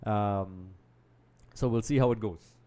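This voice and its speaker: A man's voice: fairly low pitch, takes it slowly, dark tone. Chatty man hosting a live stream. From Trinidad and Tobago. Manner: chatty and analytical, often says "um" and addresses "folks". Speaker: livestream host. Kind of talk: live football watch-along commentary and chat reading.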